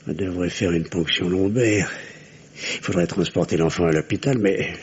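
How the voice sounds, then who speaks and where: An actor in an old radio play, playing a weary, fatherly, middle-aged country doctor with a husky voice and a hesitant manner. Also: low-pitched, moderate pace. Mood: grave, concerned. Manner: hesitant, paternal. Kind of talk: old French radio drama acting. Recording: dull, lo-fi old radio recording.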